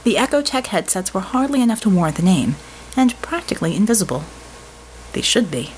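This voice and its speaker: A woman's voice: medium pitch, big pitch swings, a little fast, darkish tone, poor audio. Woman narrating fiction, faint noise behind. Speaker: audiobook narrator.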